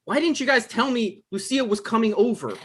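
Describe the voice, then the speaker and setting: Man playing whiny, argumentative male novelist, husky edge, quick to anger, table-read drama. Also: extremely high pitch, expressive, a bit bright, full character voice. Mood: exasperated. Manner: argumentative, exasperated. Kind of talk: live table read of a screenplay.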